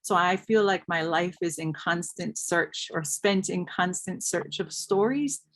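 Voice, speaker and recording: A woman's voice: on the low side, unhurried. A woman from Hawaii speaking articulately as a panelist, reflecting personally and building emphatic lists. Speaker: panelist, scholar.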